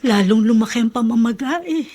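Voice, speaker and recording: Radio drama grandmother: Filipino, poor and devoted, high voice, anxious and flustered. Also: lively intonation, dark tone. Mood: flustered, apologetic. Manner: flustered, halting, pleading.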